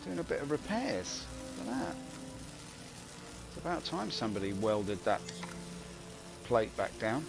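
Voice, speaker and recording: Grumbling streamer, gravelly low voice. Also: male voice, sing-song, a little slow, long pauses. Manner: grumbling, wry and self-mocking. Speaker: game streamer. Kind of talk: live gameplay commentary. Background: game music.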